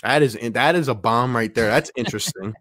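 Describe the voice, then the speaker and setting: A man hosts a live sports chat in a dry, close-sounding recording. His voice sits at an ordinary male pitch. He talks in slangy, exclamatory bursts, reading viewer messages and addressing everyone casually. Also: fairly quick, fairly bright tone, no echo. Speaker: livestream host.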